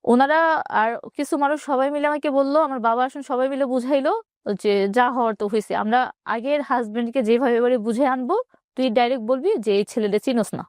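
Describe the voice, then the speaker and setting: High-voiced Bangladeshi woman telling her story. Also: fairly quick, darkish tone, no echo, clean studio sound. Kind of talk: personal storytelling in an interview.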